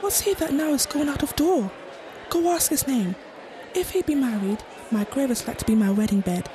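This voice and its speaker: Passionate young girl in love, played by a young woman, high-pitched, earnest Shakespearean verse, audio play. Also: animated, moderate pace, crowd noise, noisy background. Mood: stricken. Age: young adult. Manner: earnest, poetic verse delivery. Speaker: student actor. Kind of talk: Shakespearean audio drama acting.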